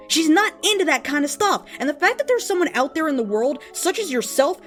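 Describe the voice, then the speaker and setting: A woman voicing an earnest, lovestruck and easily offended devotee in a dramatic, emphatic reading of an open letter. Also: sits high. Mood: indignant. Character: earnest, lovestruck, indignant devotee of a cartoon pony.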